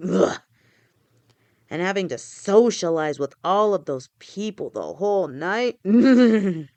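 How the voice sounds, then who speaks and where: A woman's voice: fairly low pitch, lightly acted. A woman voicing a loving lamia fiancée. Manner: gentle, reassuring, affectionate. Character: loving female lamia fiancée, protective and reassuring. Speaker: voice actor. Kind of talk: audio roleplay.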